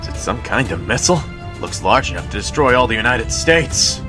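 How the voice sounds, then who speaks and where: An actor playing a brave, commanding soldier hero in an audio drama, defiant and resolute. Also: male voice, mid-range voice, very animated, fairly quick, music in the background, lightly acted.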